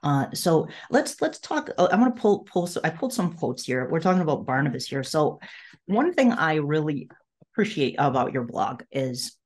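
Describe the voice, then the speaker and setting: A man hosting a podcast in casual, enthusiastic fan conversation, often halting and restarting his phrases. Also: a little high, sing-song. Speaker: podcast host.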